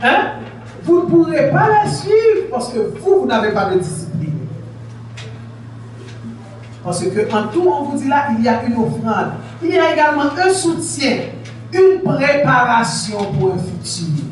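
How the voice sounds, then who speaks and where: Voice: sits high, sing-song, normal speed. Preacher, emphatic and repetitive, questioning the flock. Distant, echoey church recording. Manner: emphatic, repeats points, asks the congregation questions. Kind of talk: sermon to a congregation. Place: church hall in Haiti.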